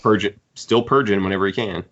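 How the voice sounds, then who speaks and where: A man with a very low voice talks casually as a podcast host. He is opinionated and critical as he discusses books. The recording is a little dull and lo-fi. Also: quick pace, fairly bright tone. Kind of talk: casual book discussion chat.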